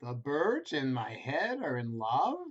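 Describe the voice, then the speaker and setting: A man's voice: mid-range voice, very animated, takes it slowly, breathy edge, exaggerated voice. A man voicing an anxious, fretful grown-up male elephant in a storybook read-aloud, worried and pleading. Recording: slightly muffled home video audio.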